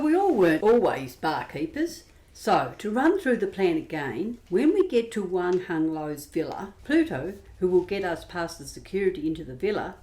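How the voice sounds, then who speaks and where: A woman's voice: fairly low pitch, a little mellow. A woman playing a tough ex-fighter heist leader. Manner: brisk, laying out a plan.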